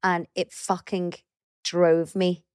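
A middle-aged woman with a Scouse accent, co-hosting a podcast in animated casual chat, swearing freely as she tells stories. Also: fairly low pitch, slow, smooth, dry recording, clean studio sound. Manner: chatty, animated storyteller who swears casually. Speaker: podcast co-host.

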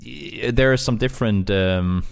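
Guy with a lowish voice, english isnt his first language, chatting in an interview, kinda rambly and animated. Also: measured pace, vocal fry. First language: not English. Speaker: esports caster being interviewed. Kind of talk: casual interview conversation.

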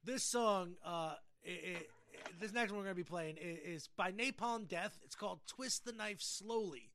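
A man's voice: sits high, normal speed, fairly bright tone. A man hosting a podcast in a casual, jokey, slangy way with plenty of swearing. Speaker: podcast host.

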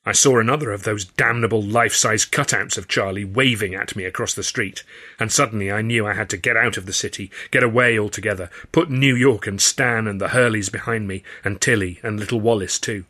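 A man with an English accent narrates a novel in a fairly low, very bright, forceful voice. His delivery is expressive and wry, shaped as dramatic first-person storytelling. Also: brisk. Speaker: author and audiobook narrator.

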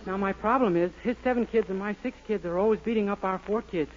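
Muffled old radio with faint noise, man acting innocent young fellow, earnest, brisk. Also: sits high, natural voice. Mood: reciting earnestly. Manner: innocent, guileless. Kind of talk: 1940s radio sitcom acting.

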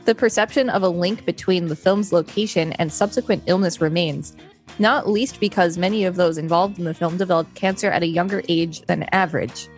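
Brief: female podcast co-host, lower pitch, dry skeptical reader, faint music bed. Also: brisk. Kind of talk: reading aloud and casual chat.

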